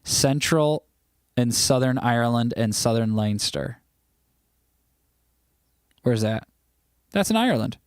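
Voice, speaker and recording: A man's voice: medium pitch, expressive, slow, long pauses, clean tone, no room sound, studio quality. A man hosting a casual livestream, chatting loosely and teasing his viewers as he reads their comments aloud. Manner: rambling with frequent restarts. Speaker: livestream host.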